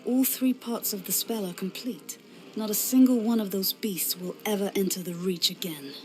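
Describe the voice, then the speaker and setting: A woman's voice: mid-range voice, normal speed, darkish tone, soft background music, close to an everyday voice. A woman as an earnest, defiant young knight. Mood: dutiful. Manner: impassioned, defiant. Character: earnest, idealistic young female inquisitor knight. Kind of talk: fantasy game cutscene acting.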